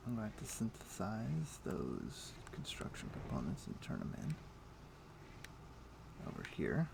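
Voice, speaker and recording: A man's voice: fairly low pitch, wildly swooping pitch, unhurried, gravelly, background noise. A man livestreaming a video game, casually thinking aloud in short muttered phrases as he plays, speaking into a desk microphone. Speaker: livestream host. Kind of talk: casual gaming commentary, talking to himself while playing.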